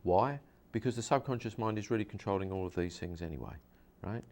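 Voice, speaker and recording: A man's voice: on the low side, fairly quick, a little mellow. A man, a hypnosis practitioner, interviewing a guest and explaining his method earnestly, with many repeats and restarts.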